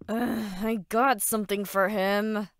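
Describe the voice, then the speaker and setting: A woman's voice: medium pitch, steady tone, unhurried, natural voice. A woman playing a feisty, food-loving teenage schoolgirl in an amateur audio drama. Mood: playful, pouty.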